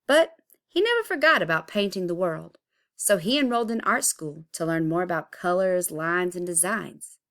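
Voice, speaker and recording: A woman reading a children's picture book aloud expressively, with deliberate, dramatic emphasis. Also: fairly low pitch, lively intonation, fairly bright tone. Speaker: storybook reader.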